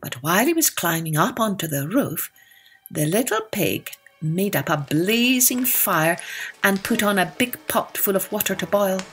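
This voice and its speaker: A woman narrating a scripted children's tale as a storyteller, with expressive, deliberate phrasing. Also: medium pitch, soft background music. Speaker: storyteller and podcast host.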